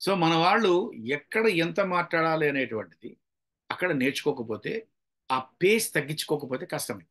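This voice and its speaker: A man's voice: on the high side, expressive, moderate pace, fairly bright tone. A man from India, giving an instructional talk on delivery as a trainer, explaining step by step in an emphatic, demonstrative way. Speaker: public speaking trainer. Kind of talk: instructional talk with acted-out examples.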